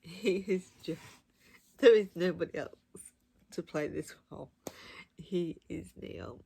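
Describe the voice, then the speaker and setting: A woman giving a casual, unscripted review of audiobooks, gushing and emphatic, speaking in short, halting phrases. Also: fairly low pitch, creaky, warm tone, slightly rough.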